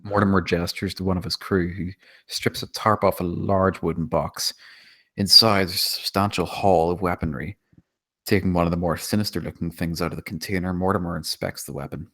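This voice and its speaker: A man with a very low voice narrates a screenplay. He reads out scene descriptions and stage directions as scripted narration. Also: steady tone, fairly quick, fairly bright tone. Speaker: narrator of screenplay table read.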